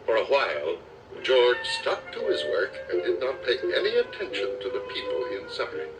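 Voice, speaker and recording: A man narrating a children's storybook aloud with expressive, dramatic storytelling delivery. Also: mid-range voice, normal speed. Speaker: audiobook narrator. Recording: slightly distant cassette recording with music.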